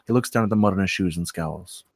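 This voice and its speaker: A man's voice: low-pitched, quick pace, no echo. Man narrating a script, dry sound. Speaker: stage-direction reader.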